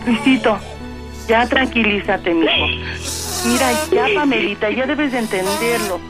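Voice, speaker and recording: A woman's voice: on the high side, unhurried, lightly acted. A woman playing a doting, soothing grandmother in a radio prank call. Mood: coaxing. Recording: loud music underneath.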